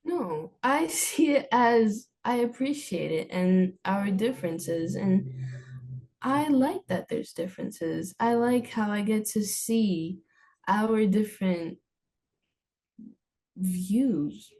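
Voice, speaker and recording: A woman's voice: mid-range voice, lively intonation, slow pace, a little mellow. A young woman whose first language is Armenian, a student answering interview questions in a hesitant way, often restarting and searching for words. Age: young adult. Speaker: student guest.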